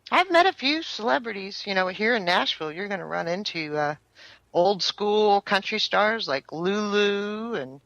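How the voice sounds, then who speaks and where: A woman's voice: mid-range voice, animated, moderate pace, creaky, a bit bright, no echo, lo-fi sound. An American woman in her fifties, a singer-songwriter talking casually in an interview, chatty and rambling with fillers. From United States. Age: 50s.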